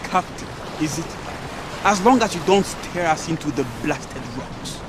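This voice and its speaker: An actor playing a gruff, skeptical pirate crewman in a video game, sardonic, with loud water noise around him. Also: a little high, animated, unhurried. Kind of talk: video game dialogue acting.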